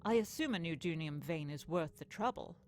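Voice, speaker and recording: A woman's voice: low voice, expressive, fairly quick. A woman playing a proud, defiant young political aide in a comic dub, speaking firmly and assertively. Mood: determined. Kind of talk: acted comic dub dialogue.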